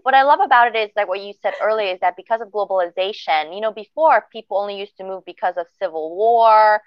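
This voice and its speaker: A woman's voice: mid-range voice, quick pace, no echo. A woman chatting casually and reflectively about growing up between cultures. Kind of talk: casual chat. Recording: dry, dull lo-fi audio.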